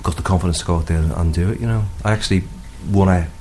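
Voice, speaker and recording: Low voiced scouse guy, rambly casual interview chat, bit of background noise. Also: racing, darkish tone. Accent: Liverpool. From Liverpool, England. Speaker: guest, singer-songwriter.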